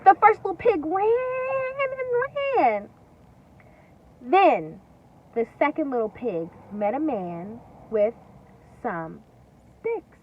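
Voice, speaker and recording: A woman with a high, very animated voice reads to young children like a teacher. She is singsong and emphatic, leaving pauses after questions. The mic sounds distant, with slightly dull sound and faint noise. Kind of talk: interactive storybook read-aloud. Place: at home.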